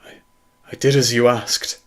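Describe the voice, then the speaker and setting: A man's voice: medium pitch, flat delivery, measured pace, pitched above the performer's own voice, lightly acted. A man playing a manic, desperate physicist in a dramatic story reading, breathless and wailing. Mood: hushed, awed. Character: manic, desperate male physicist obsessed with his discovery.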